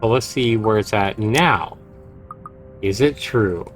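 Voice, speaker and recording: A man livestreaming a game, commenting and reading visual novel text aloud in an expressive, dramatic way. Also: fairly low pitch, takes it slowly, very noisy. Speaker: game streamer.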